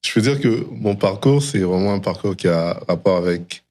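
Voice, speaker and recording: A middle-aged man with a low-pitched voice speaks as a guest in a conversation. He recounts things reflectively, in a storytelling way, and drops English phrases into his speech. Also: expressive, normal speed, darkish tone. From DR Congo and Canada. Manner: mixes English phrases into French. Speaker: interview guest, tech founder.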